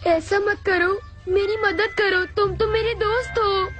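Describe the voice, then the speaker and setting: A woman voicing a whiny, lazy, excitable schoolboy in a Hindi cartoon dub. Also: very high-pitched, unhurried. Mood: panicked pleading. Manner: whiny, pleading, childlike. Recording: music under the voice.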